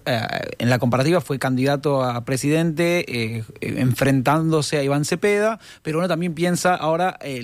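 A man's voice: fairly high pitch, no room sound. An Argentine man co-hosting a radio show, asking long questions with many fillers and restarts. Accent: Argentine Spanish. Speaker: radio co-host.

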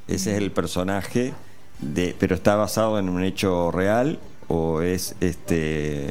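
A man hosting an unscripted radio interview in a halting, rephrasing way. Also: deep voice, fairly even intonation, darkish tone. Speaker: radio host.

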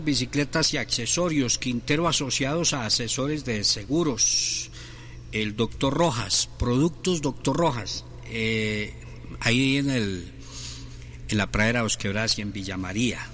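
A middle-aged man hosts a sports radio show. He talks in a rambling, joking way and reads out sponsors, his voice sitting at an ordinary pitch. A faint hum runs underneath. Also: unhurried, fairly bright tone.

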